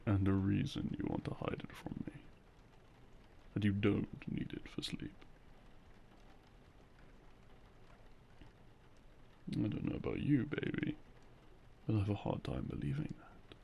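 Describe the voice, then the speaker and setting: A loving, gently teasing boyfriend acted by a man murmurs up close in an intimate ASMR roleplay. His hushed voice is full of gravelly fry and moves up and down expressively. A faint noise sits behind the close binaural recording. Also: lowish voice, big pitch swings, normal speed, heavily acted. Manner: soft, hushed, close and coaxing. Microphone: close binaural microphone.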